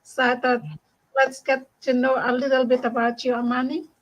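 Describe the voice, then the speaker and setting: A woman's voice: high-pitched, completely flat delivery, normal speed, dull audio. A woman from Bougainville hosting a casual online community call from home, who laughs often and thanks each guest. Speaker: host.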